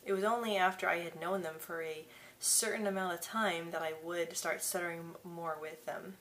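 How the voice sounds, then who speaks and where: Casting: woman, 20s, vlogger with a heavy stutter, low flat delivery, bedroom camera mic. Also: normal speed, slight background noise. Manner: stutters with repeated syllables and blocks. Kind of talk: casual talk to camera. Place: small bedroom indoors. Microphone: camera mic at desk distance.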